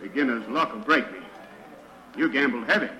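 An actor playing a brash carnival barker with showy sing-song patter, in the style of 1940s radio drama. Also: male voice, medium pitch, lively intonation, normal speed, echoey room, a crowd in the background, lightly acted, rough recording. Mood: grudging, sly. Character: brash male carnival barker running a wheel of chance.

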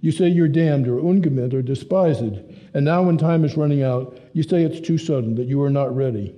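Earnest young German man, read by a male narrator, German accent, impassioned formal English, mellow tone. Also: mid-range voice, normal speed, lightly acted. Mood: angry.

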